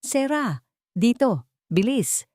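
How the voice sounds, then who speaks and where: A woman's voice: fairly high pitch, big pitch swings, slow, darkish tone, dry recording, clean studio sound, pitched above the performer's own voice, exaggerated voice. Woman playing a protective, anxious male lover. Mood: urgent. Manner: urgent, reassuring.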